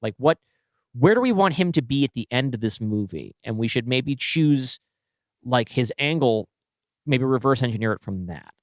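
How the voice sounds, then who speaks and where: A man's voice: medium pitch, lively intonation, normal speed, no room sound, studio quality. A man co-hosting a podcast, thinking aloud analytically in a casual writers' brainstorming conversation.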